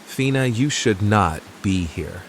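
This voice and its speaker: A man voicing a calm, aloof, protective male mystic in an audio drama, with measured, formal speech. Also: lowish voice, background noise. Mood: concerned, firm.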